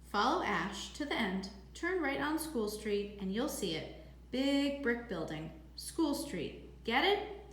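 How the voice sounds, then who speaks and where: A woman reading a storybook, voicing a gruff, brusque old grandfather with a blunt, clipped manner. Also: very high-pitched, big pitch swings, slow pace, a bit bright, full character voice. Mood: curt, instructing. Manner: clipped, blunt, matter-of-fact. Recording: echoey room with faint noise.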